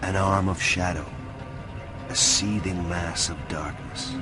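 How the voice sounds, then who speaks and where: Hacker narrator: fairly low, intense, lyrical first-person radio drama narration over a clear score. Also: male voice, steady tone, slow pace, loud music behind the voice. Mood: intense awe. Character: intense male hacker narrating his own cyberspace ride.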